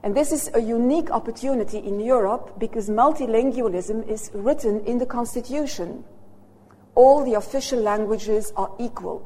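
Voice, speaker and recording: A woman's voice: fairly high pitch, mellow. A Belgian woman, a native Dutch speaker with a Flemish accent, lecturing in English and checking in with her audience through short "hmm?" tags. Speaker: university lecturer. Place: lecture hall. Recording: hall reverb.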